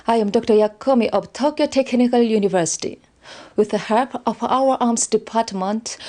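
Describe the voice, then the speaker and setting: A woman's voice: on the high side, mellow, close to an everyday voice. Woman playing a formal imperial weapons scientist. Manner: formal, orderly presenting. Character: formal, loyal female weapons scientist of an empire. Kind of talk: scripted game cutscene briefing.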